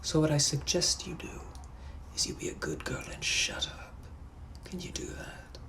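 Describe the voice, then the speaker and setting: A man playing a menacing, possessive gentleman from the 1800s, speaking in a hushed, breathy, put-on voice. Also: fairly high pitch, sing-song, heavily acted. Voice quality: hushed breathy whisper, drawn-out purring delivery. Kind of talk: POV comedy skit.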